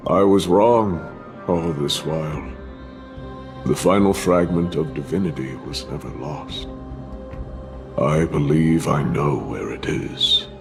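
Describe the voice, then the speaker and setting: A solemn, weary male warrior from a fantasy game. His deep voice moves slowly and deliberately, with grave weight on each phrase, over prominent music. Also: exaggerated voice. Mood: somber realization. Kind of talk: dramatic video game voice acting.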